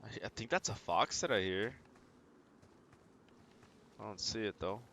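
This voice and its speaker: Low-voiced man streaming a game, noisy background. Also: a little slow, vocal fry, sharp tone. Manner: talks through decisions as if thinking aloud. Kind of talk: casual live gameplay commentary.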